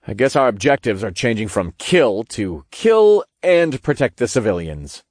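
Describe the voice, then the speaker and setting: A man voicing a brash, foul-mouthed male hero who leads battles in a fantasy audiobook, gruff and commanding. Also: medium pitch, very animated, moderate pace, darkish tone, no echo, clean studio sound. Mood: wry.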